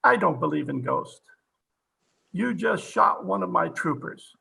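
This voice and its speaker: A skeptical police captain in a radio mystery, played by a man. The voice is forceful and raspy with heavy fry, and the questioning is brusque and demanding. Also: high voice, lively intonation, measured pace, exaggerated voice. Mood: skeptical, impatient. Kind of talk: old-time radio mystery acting. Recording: home video-call recording.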